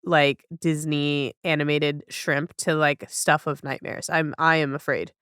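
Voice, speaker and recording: Woman podcast host, clean smooth voice in a dry recording, chatty and effusive. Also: sits low, little pitch movement, unhurried, fairly bright tone, dry recording. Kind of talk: casual, chatty interview.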